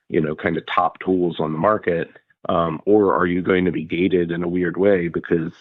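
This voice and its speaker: A man giving casual solo commentary on tech as a podcast host, talking in a halting, rambling way. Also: sits low, dry recording. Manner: halting, hedging, rambling delivery. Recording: narrow phone-like sound.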